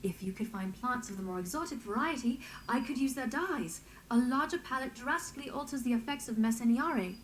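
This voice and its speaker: An actress playing a courteous, earnest young artisan in a fantasy video game, speaking carefully and deferentially. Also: female voice, a little high, animated, brisk, few pauses, a little hiss or hum, natural voice. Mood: eager. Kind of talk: dramatic video game dialogue.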